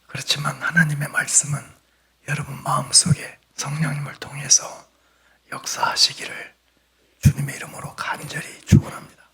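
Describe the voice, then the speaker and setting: A male missionary preacher who has lost his voice to a throat cold, giving an earnest sermon in a hoarse whisper and clearing his throat now and then. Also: fairly high pitch, completely flat delivery, moderate pace, a bit bright. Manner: apologetic, earnest, plain storytelling.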